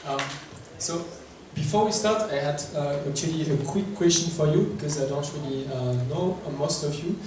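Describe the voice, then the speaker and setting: A man's voice: fairly high pitch, moderate pace, few pauses. A man with a French accent giving a workshop presentation in English, hesitant and full of filler words, asking the audience questions. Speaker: workshop speaker. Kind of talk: conference presentation. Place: large echoey conference room. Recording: echoey distant room recording with noise.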